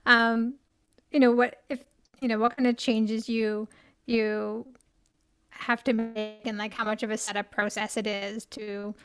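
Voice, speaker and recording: A woman's voice: fairly high pitch, fairly bright tone, clean tone, no room sound. A woman hosting an interview over a video call, chatty and conversational, with plenty of restarts and fillers. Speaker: host, interview moderator. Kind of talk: casual interview chat.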